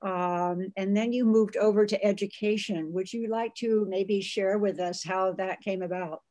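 A woman's voice: medium pitch, fairly even intonation, a little slow, dark tone. A middle-aged woman hosting a video call talk from a home room, speaking with lots of fillers, restarts and gushing thanks. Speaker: host.

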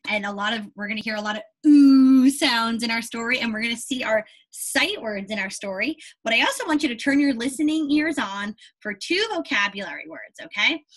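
A woman's voice: fairly high pitch, low-quality recording. A young woman teaching a read-aloud lesson to young children, speaking expressively, stressing and repeating key words and checking in often. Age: young adult. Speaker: elementary school teacher. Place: small indoor room with a whiteboard.

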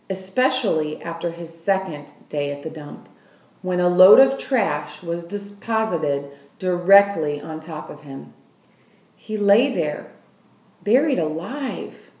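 Slow storybook woman in an echoey room. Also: fairly low pitch, a little mellow. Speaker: school staff member reading aloud. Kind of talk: scripted children's novel narration. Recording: roomy, echoing room sound.

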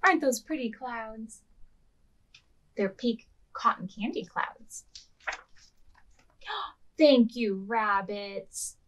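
Higher-pitched woman reading to preschoolers. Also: extremely slow, long pauses, a little hiss or hum. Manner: expressive, with questions addressed to small children. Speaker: children's librarian hosting a virtual storytime. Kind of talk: read-aloud and instructions for preschoolers.

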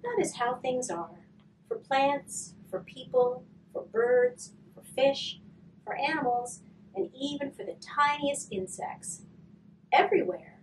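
Soft-spoken woman reading a picture book. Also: high-pitched, lively intonation. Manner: soft, slow and gentle, often trailing to a whisper. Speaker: hospice volunteer reading to children. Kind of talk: gentle storybook reading and activity demo. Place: curtained room, indoors. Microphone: far camera. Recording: distant mic with faint room noise.

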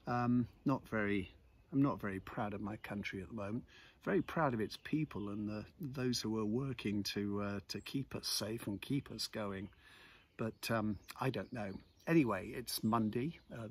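Low-voiced older British man, outdoors. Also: slightly rough. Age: 60s. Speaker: vlogger. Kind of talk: casual reflective talk to camera. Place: outdoors in a village churchyard. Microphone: phone on selfie-stick tripod, about arm's length. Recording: outdoor phone recording with background noise.

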